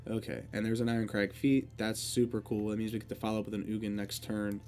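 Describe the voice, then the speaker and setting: A young man with a congested voice and a sore throat, casually talking through a digital card game as he plays and thinks out loud. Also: lowish voice, fast talker, soft background music. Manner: relaxed, thinking aloud while playing. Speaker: gaming video creator.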